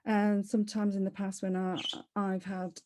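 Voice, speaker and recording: A woman's voice: mid-range voice, flat delivery, normal speed, noisy background. A middle-aged woman with a Leicester English accent, chatting casually as an interview guest, rambling and restarting her sentences often. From born in Kenya, raised in Leicester, England. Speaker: interview guest, property investor.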